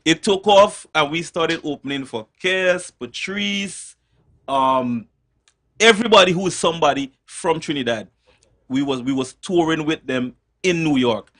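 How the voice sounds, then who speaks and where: A man's voice: a little high, unhurried, a bit bright. A man with a Guyanese accent, a soca artist guest telling how his group got its name. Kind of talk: casual storytelling.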